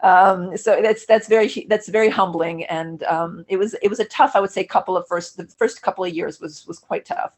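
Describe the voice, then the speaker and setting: A woman's voice: fairly low pitch, a little fast, hardly any pauses, a little mellow. A middle-aged woman, a native Russian speaker, talking casually as a podcast guest, thoughtful and often restarting her sentences. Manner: thoughtful, self-correcting, frequent restarts and fillers. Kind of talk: casual conversational interview.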